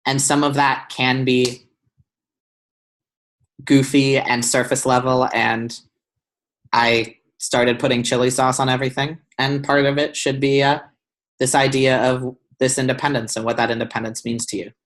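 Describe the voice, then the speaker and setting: A man's voice: medium pitch, flat delivery, normal speed, fairly bright tone. A young man giving one-on-one feedback on a student's essay over a video call, thoughtful, with many ums and restarts. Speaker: writing tutor. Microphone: webcam on video call.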